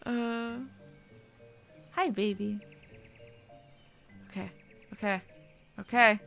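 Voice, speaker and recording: A woman's voice: mid-range voice, steady tone, extremely slow, vocal fry, a bit bright, soft background music. A woman streamer chatting casually and muttering to herself as she plays a game. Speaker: streamer playing an online fantasy game. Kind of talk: casual live gaming commentary.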